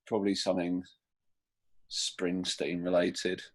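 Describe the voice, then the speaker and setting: A man's voice: low voice, completely flat delivery, a little slow. A man with a British accent answering interview questions as a musician, in casual, hesitant, rambling talk. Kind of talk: casual chat.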